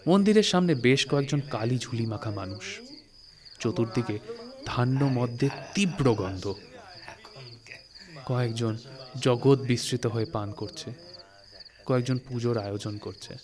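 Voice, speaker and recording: A man narrating a literary story in a dramatic, suspenseful way, with expressive phrasing and deliberate pauses. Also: mid-range voice, crowd noise. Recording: studio recording with music. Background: music and night insect sounds.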